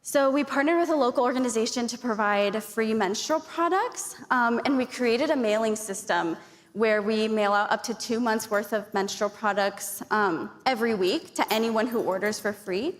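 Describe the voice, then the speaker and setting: A woman's voice: fairly high pitch, normal speed. A young woman from Arizona speaking on a conference panel, earnest and chatty, with lots of fillers and thanks. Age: young adult. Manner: earnest, grateful, chatty with many likes and fillers. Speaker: youth panelist. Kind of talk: conference speech. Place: large conference hall. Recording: long hall reverb.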